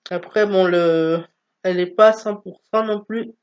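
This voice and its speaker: A woman's voice: medium pitch, fairly even intonation, unhurried, lo-fi sound. A woman with a stuffy nose from a cold, chatting casually about her book haul with many hesitations. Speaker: book vlogger.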